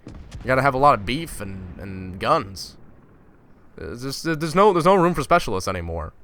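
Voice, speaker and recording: A man's voice: mid-range voice, big pitch swings, normal speed, a bit bright, slight background noise. A young man from Finland, a gaming YouTuber, ranting in a casual, exasperated and sarcastic vlog.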